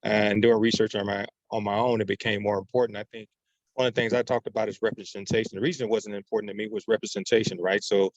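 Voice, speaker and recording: American guy talking really quick, sharing in a group chat, bit roomy and dull sounding. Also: on the low side, flat delivery. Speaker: workshop participant. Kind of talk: informal sharing in a group discussion.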